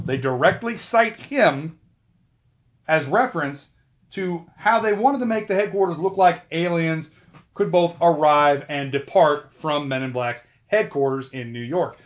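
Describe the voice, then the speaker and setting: Male host, chatty trivia commentary, a bit high-pitched, dull lo-fi recording. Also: moderate pace, a bit bright. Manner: chatty, with asides and self-corrections. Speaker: video host. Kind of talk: casual film trivia commentary.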